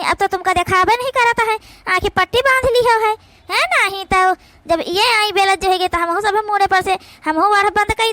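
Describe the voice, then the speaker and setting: A woman's voice: extremely high pitch, fairly bright tone, dry recording. A woman voicing brash, indignant village daughters-in-law in an Awadhi cartoon comedy, scolding and arguing in a rustic manner.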